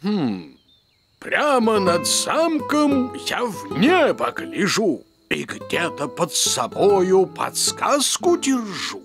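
An actor voicing a jolly, kindly elf pirate captain in a children's cartoon, with a theatrical manner. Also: male voice, sits high, wildly swooping pitch, drawn-out words, music in the background, exaggerated voice. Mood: genial. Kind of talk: Russian-dubbed children's cartoon acting.

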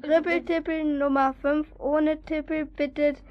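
A performer playing an excitable child at a birthday party in a children's audio play, with eager exclamations. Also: high voice, unhurried, muffled recording, background noise. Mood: playful.

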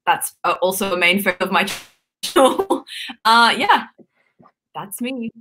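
A woman's voice: mid-range voice, lively intonation, measured pace, bright, forceful tone, slight background noise. A woman in her twenties with an Australian accent, chatting and laughing on a video call from a room at home, with lots of ums. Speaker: YouTuber co-hosting a live stream. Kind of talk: casual chat. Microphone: webcam on a video call.

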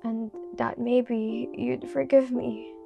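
An actress playing a grieving, regretful young woman in a hushed, halting inner monologue for an animated short. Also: fairly high pitch, vocal fry, exaggerated voice. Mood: subdued, resigned. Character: grieving, regretful young woman mourning her lost beloved. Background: music.

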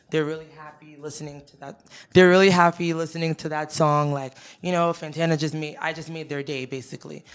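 Young woman singer talking casually. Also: deep voice. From Ghana, raised in the United States. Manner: easygoing, many fillers like "you know" and "um". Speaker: singer interviewee. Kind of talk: casual interview answers. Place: studio with a teal backdrop. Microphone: handheld mic close to the mouth.

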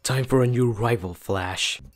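A man voicing a gruff, menacing comic-book villain who challenges a hero. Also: medium pitch, measured pace, faint music behind, natural voice.

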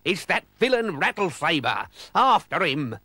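Booming, blustering pirate captain. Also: male voice, very animated, bright, forceful tone, dry recording, heavily acted. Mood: thundering. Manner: booming, drawn-out theatrical delivery. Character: blustering, clumsy male pirate captain, thundering and wailing.

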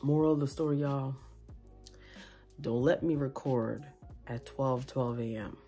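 A woman's voice: a bass voice, unhurried, warm tone, faint music behind. A young woman vlogging from home into a phone on a tripod, chatty and rambling, tired late at night. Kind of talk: casual late-night vlog chat. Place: small room at home, indoors.

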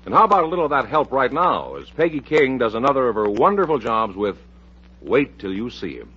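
A male American announcer from old-time radio, reading his script with polish. His voice is husky and moves widely in pitch. The recording is dull and lo-fi, like a vintage broadcast. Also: very animated, brisk, pushed and bright. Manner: polished, promotional delivery. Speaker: radio show announcer and host.

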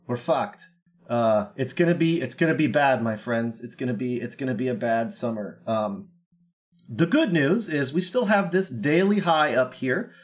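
A man's voice: mid-range voice, lively intonation, normal speed. A young man with a slightly scratchy voice from a cold, talking through a casual market analysis in a brash, joking way with lots of ums and uhs, recorded on a webcam in a small room. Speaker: crypto trading analyst.

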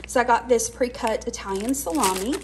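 A woman's voice: a little high, a little fast, noisy background. Chatty woman with a twang. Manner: chatty, many fillers like "um" and "like". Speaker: cooking channel host. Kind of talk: casual grocery haul vlog voice-over. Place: home kitchen.

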